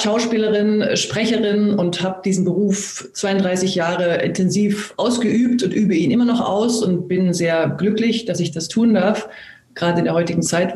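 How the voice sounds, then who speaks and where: A woman's voice: lowish voice, mellow, slight background noise. A woman in her fifties from Germany speaking reflectively as an interview guest, searching for her words. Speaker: guest, actress, voice artist and coach. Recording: roomy, echoing sound.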